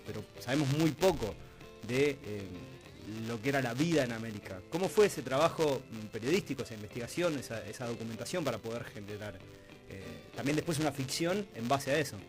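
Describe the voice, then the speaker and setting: A man's voice: medium pitch, animated, fast, low-quality recording. An Argentine man hosts a radio interview in a mid-range voice. He talks conversationally and reflectively, often breaking up long questions as he goes. Faint music plays behind him. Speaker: radio host interviewing guests. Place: radio studio.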